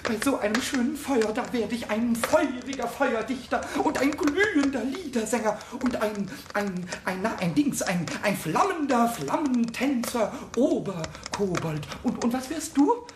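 Squeaky little kobold, very high scratchy voice, wildly animated, childlike, mischievous, rhyming and stammering, children's radio play. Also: male voice, unhurried, hardly any pauses, roomy sound, heavily acted. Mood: boastful, excited. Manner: stammering eagerly, rhyming, sing-song exclamations.

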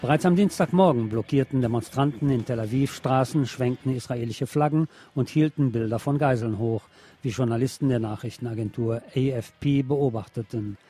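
A man reading scripted news in a measured, formal manner. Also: medium pitch, dark tone, slightly rough, faint music behind. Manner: measured, formal read-out delivery. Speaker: news reader.

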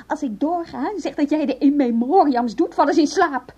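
A woman's voice: high voice, brisk, darkish tone, lightly acted. An actress playing a bitter, frustrated wife who mockingly taunts her husband, in a theatrical Dutch radio play style. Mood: scornful. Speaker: radio drama actor.

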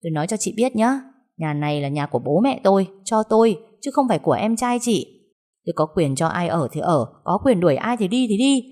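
A woman's voice: mid-range voice, sing-song, fairly quick, a little mellow, close to an everyday voice. A woman voicing a cold, scornful young wife who talks back sharply. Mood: defiant. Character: cold defiant young wife leaving her husband.

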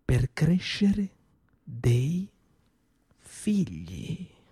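A man delivers a bitter, accusing monologue in a slightly high voice, dropping into slow, heavy pauses. The sound is close and dry, with no room around it. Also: wildly swooping pitch, dark tone, slightly rough, dry recording. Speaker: stage actor in a one-man monologue. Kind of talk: theatrical monologue performance. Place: small theatre stage.

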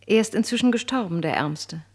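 An actress in a German radio play playing a flattering, scheming French marquise with an affected, condescending manner. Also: female voice, medium pitch, a little fast, breathy edge. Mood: worldly condescension. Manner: affected, gracious, condescending, teasing.

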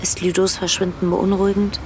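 A woman's voice: on the low side, level tone, brisk, lightly acted. A woman playing an uneasy civilian reporting to a contact in a factual, orderly way in a German radio drama. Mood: uneasy, earnest. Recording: vehicle noise behind the voice.

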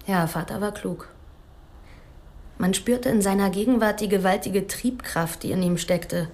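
An actress playing a cool, candid young heiress in a radio play, composed, blunt and matter-of-fact. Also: female voice, mid-range voice, measured pace, darkish tone, lightly acted.

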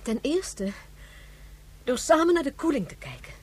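An actress playing a passionate, exasperated mother in a radio drama, emphatic and pleading, with a husky voice. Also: sits high, very animated, normal speed, a little hiss or hum. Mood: urgent, exasperated. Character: passionate, exasperated mother rallying her family.

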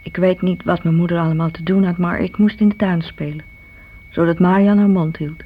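A woman's voice: lowish voice, fairly even intonation, a little fast, natural voice. A woman playing a blunt, resentful elder sister in her thirties in a radio play, speaking with frank disdain. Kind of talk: radio play monologue, confiding. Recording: muffled old radio recording, faint hiss.

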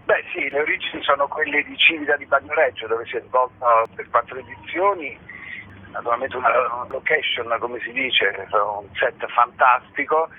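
A man's voice: mid-range voice, moderate pace, slightly rough. A man, an artistic director being interviewed casually on the radio. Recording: tinny narrow-band phone line.

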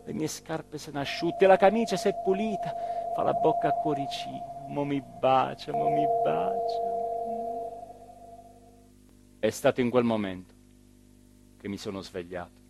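An actor playing an anxious, self-mocking southern Italian small-town mayor in a theatrical radio monologue, moving between muttering and loud declaiming. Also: male voice, medium pitch, moderate pace, gravelly, loud music behind the voice, natural voice. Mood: dreamy, hopeful. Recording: very noisy radio drama recording with ambience.

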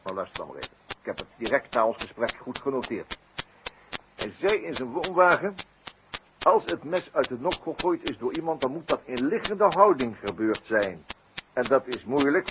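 Wry, theatrical police detective male actor, deliberate and mocking, vintage radio drama, heavy noise and clicks. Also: medium pitch, sing-song, close to an everyday voice. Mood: mocking, accusing. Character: sly, mocking male French police detective in circus mystery. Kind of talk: 1960s Dutch radio play acting. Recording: very noisy, clicky 1960s radio recording.

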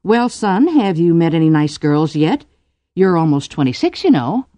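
A woman's voice: lowish voice, big pitch swings, moderate pace, warm tone, dry recording, pitched above the performer's own voice. A woman voicing a meddling, mock-sweet matchmaking mother in an arch, teasing manner. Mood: arch, nagging.

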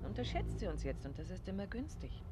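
Observant, playful sleuth's wife, played by an actress, conversational, medium-pitched; 1950s radio drama with clear background noise. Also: female voice, very animated, fast talker, natural voice. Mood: chatty, curious. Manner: chatty, conversational, slightly playful. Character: bright, observant wife helping her detective husband. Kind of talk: 1950s German radio play acting.

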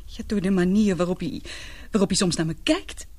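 A young woman playing an anguished daughter in love in a radio drama, confiding in halting, earnest, emotional speech. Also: fairly low pitch, a little mellow, lightly acted. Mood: worried, confiding. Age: young adult.

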